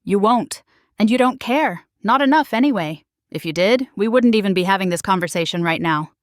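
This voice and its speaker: A fed-up husband speaks calmly and firmly, as a woman reading the story puts him on. His words come steady and measured, at a middling pitch. The sound is dry and close. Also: dry recording, natural voice. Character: calm, firm adult husband, fed up.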